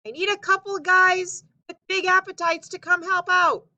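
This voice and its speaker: Woman voicing a loud, folksy, high-pitched logging boss Brimstone Bill. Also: fairly even intonation, moderate pace, highs cut off, heavily acted. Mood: urgent, hollering. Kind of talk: storybook read-aloud character voice.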